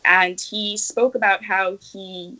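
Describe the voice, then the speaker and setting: A young woman from Nigeria answering interview questions in a bright, clear tone. She speaks earnestly and thoughtfully, with plenty of pauses and restarts as she works out her answers. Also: medium pitch, fairly even intonation, poor audio. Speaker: activist guest.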